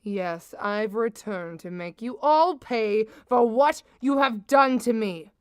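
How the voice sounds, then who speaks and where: A woman's voice: on the high side, takes it slowly, clear, resonant tone. Woman acting a theatrical vengeful villainess. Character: theatrical vengeful villainess, mock-tender, menacing. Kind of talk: comedic suspense audio drama.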